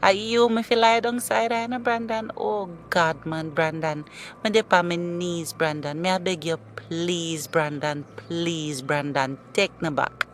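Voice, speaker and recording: A woman's voice: lowish voice, steady tone, measured pace, slight background noise, close to an everyday voice. An actress playing a desperate young woman begging her ex-lover to take her back, in a comedy skit. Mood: pleading. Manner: pleading, tearful begging.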